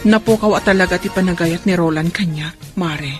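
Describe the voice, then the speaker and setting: A woman's voice: fairly low pitch, moderate pace, over music, lightly acted. A woman with an Ilocano accent acting in a family radio drama. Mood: hurt, confiding.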